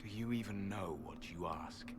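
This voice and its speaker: An actor playing a proud, stern ancient elf guardian in a fantasy video game, solemn and formal. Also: male voice, low voice, moderate pace, noisy background, natural voice.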